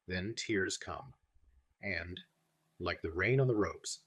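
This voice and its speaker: A man reading the lines of an old foreign professor who speaks slow, broken English, grieving yet philosophical. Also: deep voice, animated, fairly bright tone, breathy edge, no room sound, heavily acted. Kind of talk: audiobook reading.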